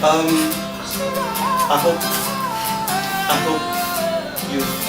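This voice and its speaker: A man giving an informal, reflective thank-you speech as the exhibiting artist, speaking haltingly with pauses. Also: fairly high pitch, level tone. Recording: echoey gallery, distant mic, loud guitar. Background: live guitar music.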